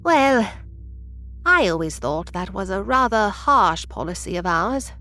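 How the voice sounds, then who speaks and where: A woman's voice: medium pitch, very animated, unhurried, bright, forceful tone. A woman playing a prim, rule-following time traveler near retirement who gets irritated easily, in an audio drama. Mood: dry. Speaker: senior time travel agent.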